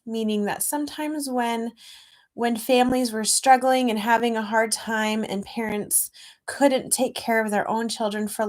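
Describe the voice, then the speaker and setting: A middle-aged woman speaking to children as an author at a read-aloud, explaining gently in simple words. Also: a little high. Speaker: children's author reading own book aloud.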